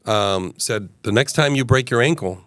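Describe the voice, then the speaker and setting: Smooth-voiced man in his fifties. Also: mid-range voice, normal speed, fairly bright tone, dry recording. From Uganda. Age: 50s. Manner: earnest, expansive, hesitant fillers. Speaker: guest, mayoral candidate. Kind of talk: casual interview storytelling.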